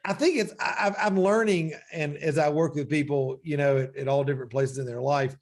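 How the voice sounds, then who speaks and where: A man's voice: fairly high pitch, steady tone, fairly quick, a little mellow. A man in his forties hosting a faith-based interview, talking reflectively and often saying "you know.". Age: 40s. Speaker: podcast host.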